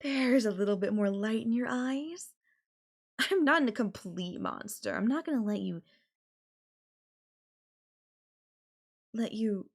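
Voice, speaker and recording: A woman voice actor playing an obsessive, possessive girlfriend in an intimate audio roleplay, with a coy, casual, drawn-out way of talking. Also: on the high side, lively intonation. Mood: coaxing, casual. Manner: coy, drawn-out, casual filler-laden phrasing with giggles and sighs. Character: obsessive, possessive yandere girlfriend, playfully menacing kidnapper.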